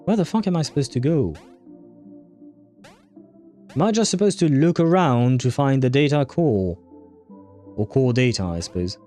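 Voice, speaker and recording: A man's voice: medium pitch, expressive, moderate pace, long pauses, mellow, soft background music. A man giving casual livestream commentary as he plays a game, muttering to himself and swearing now and then. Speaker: livestream host.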